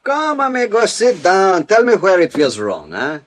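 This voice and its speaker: A shrewd, friendly Venezuelan police chief from classic radio. His voice sits a little high and moves in lively swings. He is measured and inquisitive, an attentive listener. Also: full character voice. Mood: genial, curious. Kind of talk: classic radio drama acting.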